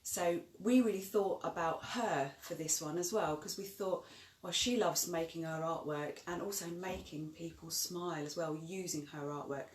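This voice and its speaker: A woman's voice: lowish voice, moderate pace. A woman informally introducing a children's story as co-presenter. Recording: echoey, distant mic, faint noise, clicks.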